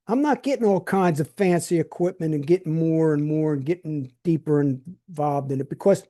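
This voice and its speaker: A man's voice: high-pitched, warm tone. Blunt streetwise older man. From New Jersey. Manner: blunt, streetwise, repeats and restarts words. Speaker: podcast host. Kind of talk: casual monologue.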